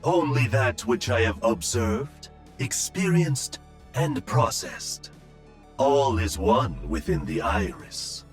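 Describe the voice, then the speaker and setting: A man playing a serene, philosophical robot monk in a video game, meditative and deliberate. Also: mid-range voice, animated, soft background music.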